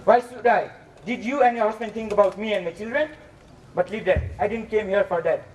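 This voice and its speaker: A man's voice: sits high, a little fast, highs cut off, close to an everyday voice. A young man in a school stage play acting a bitter, menacing moneylender who speaks with insistent threats. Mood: coldly threatening. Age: young adult. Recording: distant mic, faint background noise.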